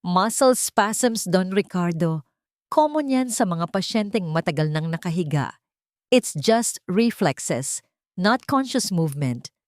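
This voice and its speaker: A woman's voice: on the low side, expressive, slow, no room sound, clean studio sound. Woman as a dismissive, clinical male doctor. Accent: Filipino. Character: cold, dismissive male family doctor, professionally pitying.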